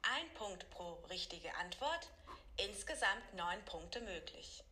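Woman, quiz announcer, slow, bright, evenly read voice. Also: mid-range voice, very animated, a little hiss or hum. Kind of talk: scripted announcing.

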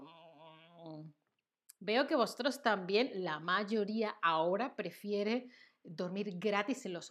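Unhurried Andalusian woman teaching live. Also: lowish voice, fairly bright tone. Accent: Andalusian Spanish. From Seville, southern Spain. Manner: playful, chatty, laughs often, reads chat names aloud; lists words slowly with hesitant fillers. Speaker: Spanish teacher hosting a live stream. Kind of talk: live-stream lesson with chat interaction.

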